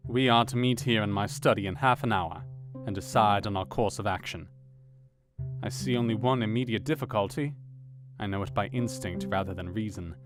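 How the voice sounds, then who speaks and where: A man playing a thoughtful Victorian doctor who narrates his diary in a measured way over music. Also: fairly low pitch, lightly acted. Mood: reflective. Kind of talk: audio drama reading.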